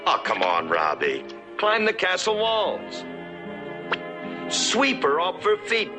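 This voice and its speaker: A man playing a flamboyant, urging sidekick character in an animated film. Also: high voice, takes it slowly, music in the background, heavily acted. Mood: urging, romantic.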